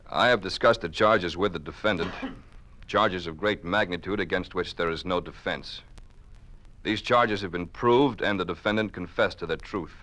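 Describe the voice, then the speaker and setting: A deferential male defense counsel who serves the regime. His voice is very low yet sharp and forceful, with formal, oratorical phrasing. The delivery is classic radio drama. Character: deferential, servile male defense lawyer doing the regime's bidding. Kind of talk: old-time radio drama acting.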